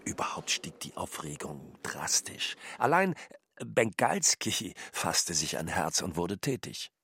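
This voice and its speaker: A husky man giving expressive, theatrical narration in a radio drama, stretching out his phrases. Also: sits low, slow pace, dry recording, studio quality.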